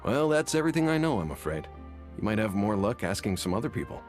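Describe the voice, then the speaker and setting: A plain-spoken, sympathetic townsman from a video game. His voice sits very low but moves expressively as he gossips wistfully, over faint music. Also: big pitch swings, fast talker. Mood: pitying. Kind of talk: video game character acting. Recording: music behind the voice.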